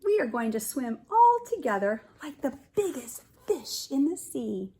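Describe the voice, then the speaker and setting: A woman voicing an eager, brave little male fish in a storybook, animated and urging. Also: high-pitched, a little slow, slight background noise, voice pushed up, exaggerated voice. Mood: excited, determined.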